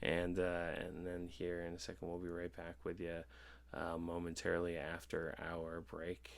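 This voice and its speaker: A man hosting a casual podcast, rambling and halting, with effusive thanks and agreement. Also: low-pitched, completely flat delivery, vocal fry, clean tone. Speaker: podcast host. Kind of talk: casual interview chat.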